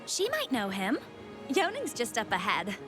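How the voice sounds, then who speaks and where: An actress playing a timid but helpful young guild newcomer in a video game, apologetic and hesitant. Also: female voice, fairly high pitch, huge pitch swings, unhurried. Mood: unsure, helpful. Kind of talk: scripted game dialogue. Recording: game music behind voice.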